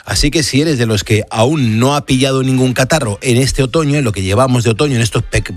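A man's voice: mid-range voice, fast, soft background music. Castilian Spanish man hosting late-night radio. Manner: conversational, addresses the listener directly. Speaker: radio host. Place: radio studio.

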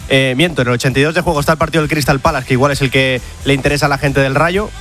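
A man reading football match updates in a quick, matter-of-fact way. He speaks very fast at a middling pitch, with clear music running behind him. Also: steady tone, a bit bright. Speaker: football commentator.

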